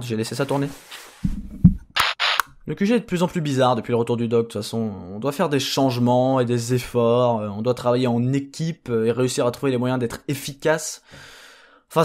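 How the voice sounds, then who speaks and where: A man's voice: mid-range voice, lightly acted. A man playing a flustered, sarcastic henchman clone, rambling and exasperated in a comedic audio drama.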